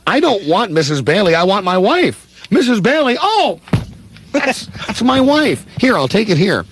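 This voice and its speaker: A man playing an earnest, flustered, kindly small-town lender in a comedic radio play, husky and pleading. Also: high voice, big pitch swings, unhurried, a little hiss or hum.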